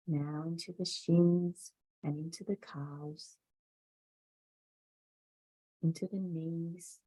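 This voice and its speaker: Slow, low, mellow woman guiding meditation. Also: husky, low-quality recording. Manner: calm, soothing, deliberate with long pauses. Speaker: yoga and meditation instructor. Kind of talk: guided meditation instruction.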